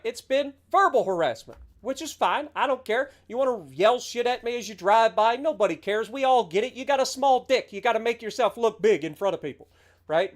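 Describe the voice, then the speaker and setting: A man in his late thirties, a game streamer, talking through a heated, sarcastic, heavily profane rant on his livestream. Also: high-pitched. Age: 30s.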